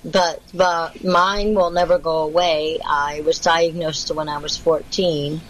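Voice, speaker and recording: A woman's voice: sits low, flat delivery, slow pace, low-quality recording. A woman in casual chat, explaining at length with many restarts, her voice faltering from a vocal condition.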